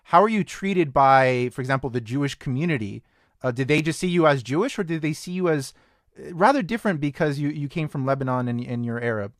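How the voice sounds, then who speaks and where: A young man with a fairly high voice hosts a podcast, putting loose, conversational questions to his guest. The recording is close and dry, with no room sound around him. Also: lively intonation, a little fast, dry recording. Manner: conversational, with fillers and restarts. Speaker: podcast host. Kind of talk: casual interview questions.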